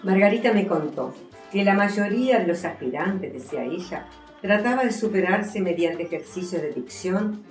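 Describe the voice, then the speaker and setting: A woman's voice: lowish voice, a little mellow. An Argentine woman, an author and historian, presenting her work as a guest and recounting it to listeners. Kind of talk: book presentation, reading aloud and recounting. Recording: echoey room, faint music.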